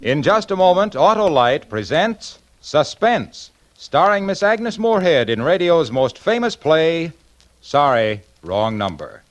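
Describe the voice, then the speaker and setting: A man's voice: on the high side, sing-song, unhurried. A man working as a 1940s radio announcer, selling the sponsor's product with punchy, emphatic patter.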